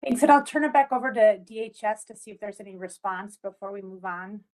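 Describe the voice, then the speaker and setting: Woman, mid pitch, brisk facilitator calling on speakers over a call. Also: a little mellow. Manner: brisk, procedural. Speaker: meeting facilitator. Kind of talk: facilitating a meeting. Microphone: video call.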